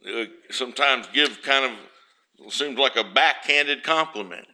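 A man's voice: medium pitch, slow. A man preaching in a homespun, storytelling way. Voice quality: naturally loud voice. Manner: homespun, storytelling, rises loud for emphasis. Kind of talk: folksy sermon.

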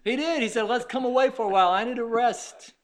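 High-voiced preacher in his fifties. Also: quick pace, slight background noise. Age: 50s. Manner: emphatic, swings from loud urging to hushed, quiet asides; acts out the doubting listener's objections. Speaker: pastor. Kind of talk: sermon.

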